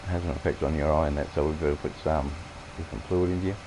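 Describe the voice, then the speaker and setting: A man with an Australian accent, croaky from coughing, rambling through farming-game commentary with many halting fillers. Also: extremely low pitch, steady tone, background noise. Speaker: gameplay streamer.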